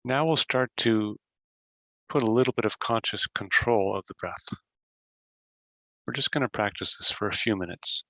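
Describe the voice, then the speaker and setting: A man leading a guided meditation as host, giving simple instructions in short phrases with long pauses. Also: lowish voice, sharp tone, no room sound.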